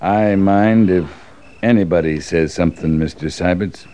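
An actor playing a stern, guarded cavalry captain in a 1950s radio western, curt and commanding. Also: male voice, low-pitched, moderate pace, dark tone, close to an everyday voice. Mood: cold, curt. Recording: dull, faintly noisy old radio recording.

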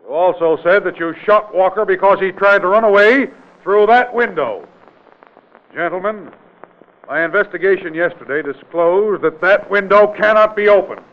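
An actor playing a forceful, brusque state investigator in a mid-century radio drama, speaking with authority and pressing his questions insistently. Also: sits high, normal speed, lightly acted. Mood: accusing, insistent. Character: forceful, commanding male state investigator exposing a killer. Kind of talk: 1940s-50s radio drama acting. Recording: tinny, band-limited vintage radio recording.

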